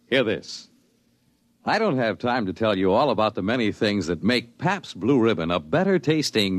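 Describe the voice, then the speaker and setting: A man's voice: fairly low pitch, no echo. A man announcing for 1950s network radio in a theatrical, emphatic, dramatic style. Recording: 1950s radio broadcast with music. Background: music.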